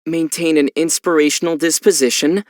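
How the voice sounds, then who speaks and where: A man voicing a thoughtful, gently wry young adventurer in an anime-style video game, speaking in a measured, articulate way. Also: on the high side, fairly even intonation, dry recording, studio quality, lightly acted. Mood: wry, instructive. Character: young male traveler hero, calm, thoughtful, gently wry.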